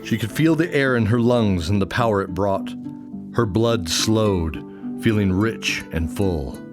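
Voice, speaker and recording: A man's voice: fairly low pitch, lively intonation, measured pace, over music. A man narrating a scripted fantasy audio drama.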